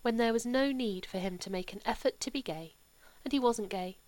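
A woman voicing a gentle, sorrowful aristocratic older mother who speaks softly and slowly. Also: on the high side, pitched above the performer's own voice, lightly acted. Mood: tender. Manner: soft, slow and hushed.

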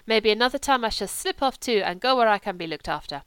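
A woman's voice: mid-range voice, expressive, fast, fairly bright tone, clear, resonant tone, no echo, close to an everyday voice. A woman voicing a sickly, demanding young German man with a German accent and a grumbling, self-pitying manner, in an audiobook. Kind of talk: audiobook character reading.

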